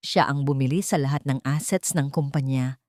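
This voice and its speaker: A woman voicing a frightened, regretful young man speaking softly in an audio story. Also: a bass voice, normal speed, no echo, studio quality. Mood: remorseful. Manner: quiet, regretful. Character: young adult son, remorseful and frightened.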